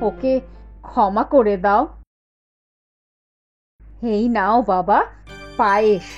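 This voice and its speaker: An actress playing a kind but firm elderly mother in a cartoon, motherly and gently scolding. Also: mid-range voice, takes it slowly, highs cut off, faint music behind, natural voice. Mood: kindly. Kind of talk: animated cartoon dialogue.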